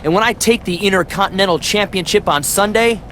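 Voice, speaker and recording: A man's voice: sits high, fairly quick, fairly bright tone, a little hiss or hum. A man playing a vain, dismissive, self-serving wrestler in scripted video game dialogue. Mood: boastful. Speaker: professional wrestler.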